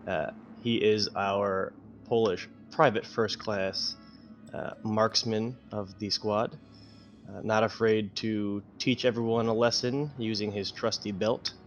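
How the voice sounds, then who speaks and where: A man chatting casually as a player introducing himself on a tabletop game show. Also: fairly low pitch. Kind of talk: casual chat.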